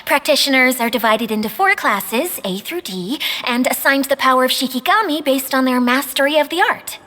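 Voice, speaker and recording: A polite, high-voiced caretaker. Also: female voice, lively intonation, normal speed, sharp tone, a little hiss or hum. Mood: explaining. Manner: courteous, formal, a little hesitant. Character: gentle young woman caretaker, polite and unsure. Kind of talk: video game cutscene dialogue.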